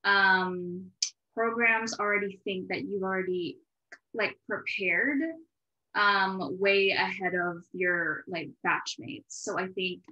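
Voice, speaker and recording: Bright-voiced chatty woman hosting, roomy sound. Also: mid-range voice, fairly even intonation, slow, muffled recording. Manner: chatty, rambling, self-interrupting. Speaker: interview series host. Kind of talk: casual conversational interview.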